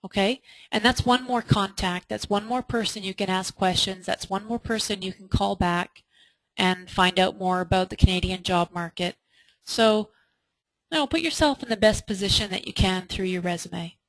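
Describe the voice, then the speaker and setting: A woman's voice: mid-range voice, normal speed, no room sound, low-quality recording. A woman presenting a webinar as an instructor, answering questions emphatically and often posing rhetorical questions of her own. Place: indoors, online webinar.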